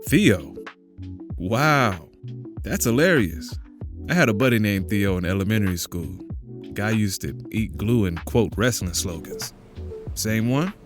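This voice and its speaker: A man's voice: lowish voice, expressive, a little slow, pauses often, music in the background. A man playing a brash, wry, chatty caller in a casual audio drama. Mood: amused.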